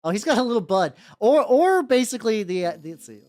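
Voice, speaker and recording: Young male streamer, relatively high voice, lively swooping pitch, casual and repetitive. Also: quick pace. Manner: rambling, repeats words, laughs often, squeals in playful high bits. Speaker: VTuber streamer. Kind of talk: casual livestream chat while painting miniatures. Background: soft background music.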